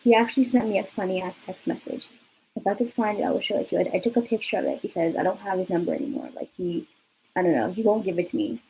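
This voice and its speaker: A woman's voice: mid-range voice, very animated, fast, gravelly, warm tone, slight background noise, low-quality recording. A young woman from California telling a rambling personal story in a casual vlog, full of fillers and swearing. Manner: chatty, lots of fillers and swearing. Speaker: vlogger.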